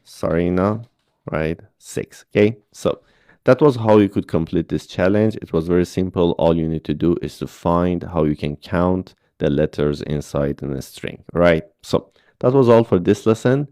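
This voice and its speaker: Deep-voiced man teaching code patiently. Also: normal speed, warm tone, no echo, studio quality. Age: 30s. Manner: patient step-by-step explaining with frequent "okay?" checks. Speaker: programming instructor. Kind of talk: screen-recorded coding tutorial.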